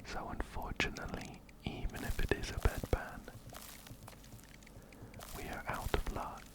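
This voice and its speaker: Slowly whispering man, close to the mic. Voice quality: soft breathy whisper. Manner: slow, soothing, repeats phrases gently. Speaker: ASMR host playing a video game. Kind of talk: ASMR whispered game commentary. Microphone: close-up binaural microphone.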